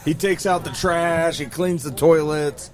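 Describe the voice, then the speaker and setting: A man's voice: a little high, steady tone, measured pace. A man in his late thirties, a podcast guest, teasing the hosts in rambling casual banter outdoors. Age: 30s. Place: outdoors by a racetrack with a crowd. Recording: loud crowd noise outdoors.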